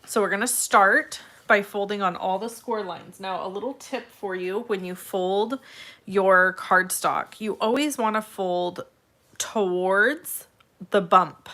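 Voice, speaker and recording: A woman with a slightly raspy, congested voice hosts a casual step-by-step craft tutorial, addressing viewers in a friendly, direct way. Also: medium pitch, takes it slowly, a bit bright. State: congested, irritated throat from allergies.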